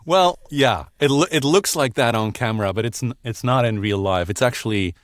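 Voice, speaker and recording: A man's voice: medium pitch, brisk, no room sound. A middle-aged man from Belgium, a voiceover artist chatting casually and laughing often from his small home recording booth. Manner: relaxed, chatty, laughs often, restarts sentences. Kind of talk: casual interview chat.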